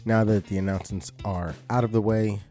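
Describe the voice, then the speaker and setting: A man hosting a podcast, talking through the intro and announcements in an easygoing, conversational way, a little hoarse. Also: lowish voice, fairly even intonation, brisk, mellow, faint music behind. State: slightly hoarse. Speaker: podcast host.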